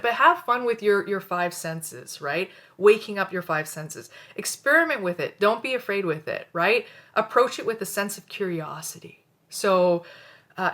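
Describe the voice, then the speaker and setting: Woman, middle-aged, from canada, hindi as first language, casual rambling teacher, many fillers and "right?" tags, medium pitch. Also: a bit bright. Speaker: spiritual teacher.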